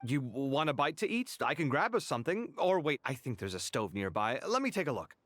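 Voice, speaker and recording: An actor playing a young male scholar in a game cutscene, expressive and quick to protest. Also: mid-range voice, no room sound. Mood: weary, friendly. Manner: animated, exasperated, quick to protest.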